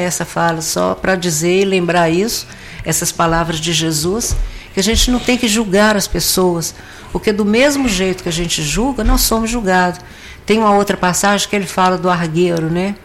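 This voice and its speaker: A Brazilian woman with a hoarse voice and a cough, giving a rambling spoken religious reflection full of fillers. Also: fairly low pitch, slight background noise. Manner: meandering, with many fillers and restarts. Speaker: lay speaker giving a weekly reflection.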